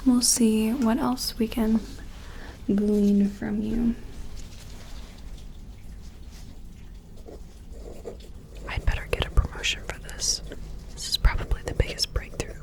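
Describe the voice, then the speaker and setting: A woman playing a timid, awed scientist in a sci-fi ASMR roleplay, whispering and murmuring gently with hesitant, musing phrasing. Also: on the high side, fairly even intonation, normal speed. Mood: quietly businesslike. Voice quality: breathy whisper mixed with soft, creaky murmur.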